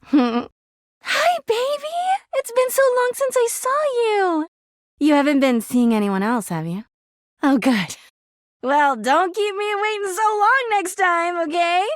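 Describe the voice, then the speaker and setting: Video game role: lovesick female object come to life, needy, passive-aggressive, high-pitched with very animated swings. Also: unhurried, no room sound, clean studio sound. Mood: sweet, clingy. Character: clingy, volatile, lovesick female living laundry hamper. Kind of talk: video game character acting.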